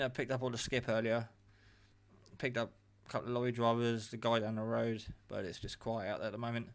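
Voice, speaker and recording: A man's voice: medium pitch, fairly bright tone. A man casually narrating a hands-on gadget test, chatty and rambling, with a matter-of-fact, self-deprecating manner. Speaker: radio hobbyist demonstrating equipment. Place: indoors at a table at home. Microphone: handheld phone camera, operator off screen.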